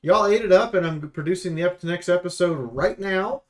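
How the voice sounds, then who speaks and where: Man, fairly high voice, YouTube game reviewer, casual, emphatic, opinionated, rambling, sweary. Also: moderate pace.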